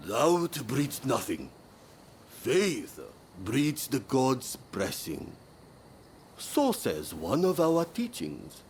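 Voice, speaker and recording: A solemn religious teacher from a video game, serene and measured. The phrases come slowly with lively rises and falls, faint noise behind. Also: male voice, mid-range voice, exaggerated voice.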